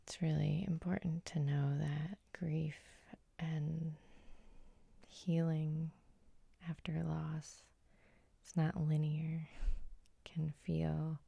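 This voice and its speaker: A woman hypnotist gently guiding sleep hypnosis in a soothing, unhurried, breathy ASMR whisper, close to the microphone. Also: sits low, dry recording. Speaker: hypnotist and host.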